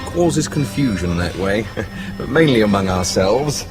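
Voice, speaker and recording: An actor playing a genial, smooth-talking, clubbable man in a radio drama, slightly hesitant. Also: fairly low pitch, expressive, a little slow, over music.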